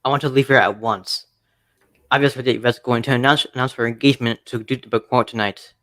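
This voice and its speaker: An actor playing an upset, lovesick young English gentleman in a radio drama, with an agitated manner. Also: mid-range voice, steady tone, fast talker, lightly acted. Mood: upset, urgent.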